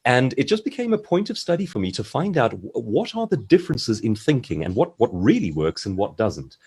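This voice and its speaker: Man, South African accent, mid pitch, very lively intonation, slightly rough voice, explanatory, articulate, online seminar. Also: brisk. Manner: articulate, explanatory, acts out short quotes. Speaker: author, seminar guest speaker. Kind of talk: conversational expert advice in an online seminar. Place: video call.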